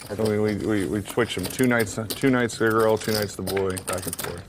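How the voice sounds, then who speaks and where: A man's voice: lowish voice, moderate pace. Chatty man at a noisy poker table. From Taiwan. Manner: chatty, rambling, laughs often, repeats words. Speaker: poker player. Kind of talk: casual table chat. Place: poker table in a studio card room.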